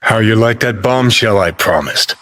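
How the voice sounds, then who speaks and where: An actor playing a cynical rebel rockerboy ghost in a video game, talking in a gruff, sardonic drawl with clipped phrases. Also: male voice, fairly low pitch, normal speed, bright, forceful tone. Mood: brusque. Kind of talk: video game character voice acting.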